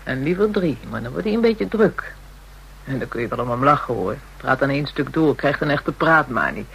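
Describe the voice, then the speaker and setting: An actress playing a hardened, taunting woman in a radio drama, with a husky voice, a Dutch accent and brash, slangy speech. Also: very deep voice, expressive, fairly quick, fairly bright tone, a little hiss or hum, exaggerated voice, low-quality recording. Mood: scornful, chatty. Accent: Dutch (Netherlands). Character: hardened, jealous, taunting woman dealing in kinky services.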